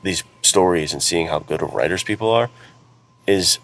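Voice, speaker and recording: A man's voice: low-pitched, normal speed, fairly bright tone. A man co-hosting a podcast, chatting casually and earnestly in informal, enthusiastic language, with faint ambient noise behind him. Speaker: podcast co-host, author and publisher.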